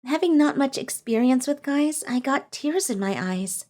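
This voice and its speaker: A woman voicing a high-pitched, timid young part-timer. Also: lively intonation, moderate pace, a little mellow. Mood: confiding. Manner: meek, hesitant, stammering when flustered. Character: timid, hardworking young part-timer who dreams of designing. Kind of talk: scripted first-person manga dub narration.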